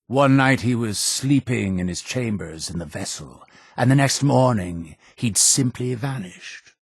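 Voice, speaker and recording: An actor playing a solemn, fervent cult high priest in a video game, speaking in a grandiose, formal, preaching manner. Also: male voice, gravelly, husky, dry recording, studio quality, full character voice. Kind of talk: scripted video game character dialogue.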